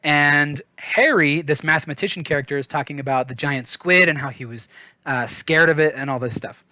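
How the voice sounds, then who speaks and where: A man's voice: on the high side, moderate pace, pushed and bright, no echo. A man giving a casual book review in an informal, chatty, self-deprecating way.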